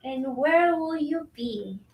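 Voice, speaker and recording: A woman's voice: high-pitched, expressive, takes it slowly, gravelly, slight background noise, full character voice. A woman playing a put-upon, deferential young kitchen helper in a staged reading, guarded and compliant. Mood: resigned, guarded. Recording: echoey, distant from mic.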